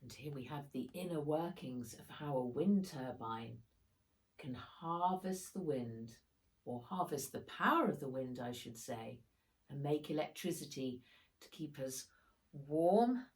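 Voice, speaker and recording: A woman reading a children's storybook aloud as its author, with animated, expressive delivery and dramatic emphasis. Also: very deep voice, slow, low-quality recording.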